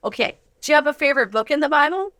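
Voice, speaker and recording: A woman with a fairly high voice hosts a casual interview. She is enthusiastic and exclamatory, chatting freely. The sound is dry, with very little room to it. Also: animated, very fast, fairly bright tone, no room sound. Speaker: interviewer and host.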